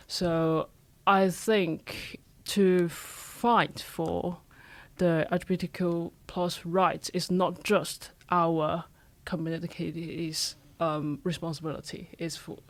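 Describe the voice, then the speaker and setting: A man's voice: a little high, dry recording. A young man speaking English as a second language, answering as an interview guest carefully and hesitantly with many fillers. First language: not English. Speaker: interview guest, university graduate. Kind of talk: casual conversational answer.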